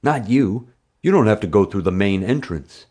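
Casting: man as blunt, hot-headed school bully in a storybook reading, demanding and forceful in delivery. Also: fairly low pitch, lively intonation, moderate pace, breathy edge, voice pushed up. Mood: scheming, pushy.

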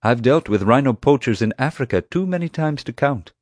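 A man's voice: medium pitch, animated, brisk, a little mellow, dry recording, pitched above the performer's own voice, close to an everyday voice. Audiobook role: male wildlife security expert, voiced by a male narrator, earnest, firm and challenging, dry studio sound. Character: earnest, blunt male rhino poaching expert. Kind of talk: audiobook character dialogue.

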